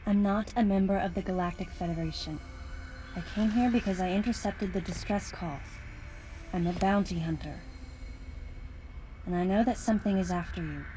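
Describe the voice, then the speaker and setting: An actress playing a female bounty hunter in a video game cutscene, narrating her thoughts in a quiet, reflective inner monologue. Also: mid-range voice, moderate pace, long pauses, lightly acted, low-quality recording. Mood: earnest. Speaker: narrator and protagonist. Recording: game audio with music underneath.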